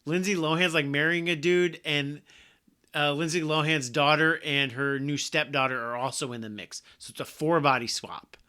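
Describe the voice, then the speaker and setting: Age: adult. Sex: man. Style: rambling casual podcast chat, bright tone. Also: fairly high pitch, fairly even intonation, moderate pace, clean tone. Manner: rambling, drifting quieter at sentence ends. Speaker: podcast co-host.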